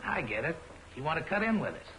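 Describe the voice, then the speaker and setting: A man's voice: fairly high pitch, sing-song, fast, pushed and bright, roomy sound, low-quality recording. A man playing a blustering, crooked racketeer with an American accent and tough gangster talk, as in a 1940s film serial. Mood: wary, bargaining. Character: blustering, crooked male racketeer running a bus line. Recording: old film soundtrack with music.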